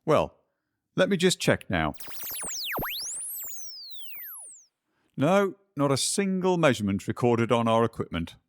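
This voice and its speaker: A man's voice: mid-range voice, sing-song, moderate pace, slight background noise, close to an everyday voice. A man playing a weary, skeptical scientist. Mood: dismissive. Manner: dismissive, tired. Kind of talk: community radio play acting.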